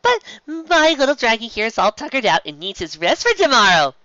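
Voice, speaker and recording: A woman voicing an eager, bossy young female pony, brisk and impatient with a falsely cheery edge. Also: a little high, sing-song, a bit bright, dry recording, muffled recording, pitched above the performer's own voice.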